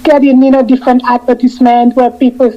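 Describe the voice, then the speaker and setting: A woman in her fifties, a guest on a radio interview, talking in a halting way, often repeating and restarting her phrases. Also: sits high, level tone, muffled recording. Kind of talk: casual radio interview chat.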